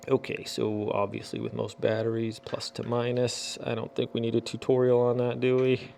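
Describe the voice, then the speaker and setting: A man's voice: medium pitch, completely flat delivery, moderate pace, vocal fry, darkish tone, slight background noise. A man hosting a casual product unboxing, chatting in a folksy way and muttering to himself as he works. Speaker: unboxing video host.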